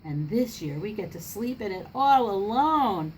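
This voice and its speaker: A woman's voice: mid-range voice, lively intonation, a little slow, darkish tone, a little hiss or hum, pitched above the performer's own voice, natural voice, poor audio. Woman reading as a teasing, scornful sister. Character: teasing, scornful young girl taunting her brother.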